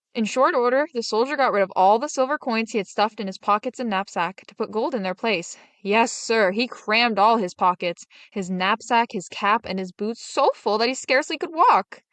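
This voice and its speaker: Animated woman reading a fairy tale. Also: fairly high pitch, no echo. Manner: dramatic, animated. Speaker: storyteller. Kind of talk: expressive fairy tale read-aloud.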